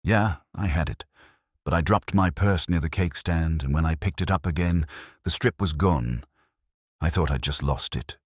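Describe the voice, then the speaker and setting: A man voicing a lively, composed nineteen-year-old female florist's assistant in a mystery story, casual and matter-of-fact. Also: a bass voice, moderate pace, dry recording, clean studio sound.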